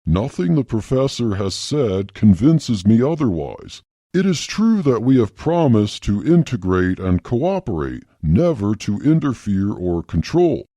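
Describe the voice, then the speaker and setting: A man voicing a calm, formal male alien diplomat who speaks in a measured, stately way. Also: on the low side, no room sound, studio quality, voice pushed down, heavily acted. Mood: calm, firm. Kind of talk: audiobook-style podcast story reading.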